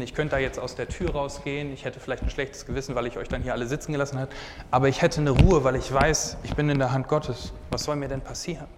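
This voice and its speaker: Young man preaching in an echoing hall. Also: mid-range voice. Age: 20s. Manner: earnest, casual, often says "hey". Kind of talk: sermon with personal testimony. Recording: hall reverb, faint background noise.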